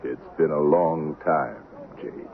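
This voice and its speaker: An actor playing a grim, mysterious stranger bent on revenge, terse and cold, in a 1970s western radio drama. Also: male voice, very deep voice, slow, creaky, a little hiss or hum, exaggerated voice. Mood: menacing. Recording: lo-fi vintage radio, narrow band, music.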